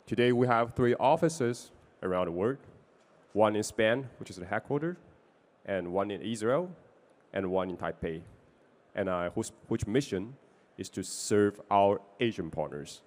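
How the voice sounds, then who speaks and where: A man from Taiwan with a Taiwanese accent presenting his investment fund in a business pitch, with small non-native grammar slips. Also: expressive, measured pace.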